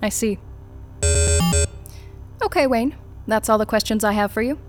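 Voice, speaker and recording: An actress playing a cool, unflappable corporate interrogator in an audio drama, formal, clipped and detached. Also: female voice, on the high side, normal speed, music in the background, natural voice. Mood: composed, businesslike. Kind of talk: naturalistic audio drama acting.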